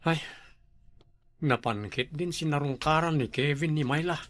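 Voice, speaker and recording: A man's voice: on the high side, moderate pace, fairly bright tone, no echo. A young man with an Ilocano accent, acting in a comedy drama, teasing and quizzical.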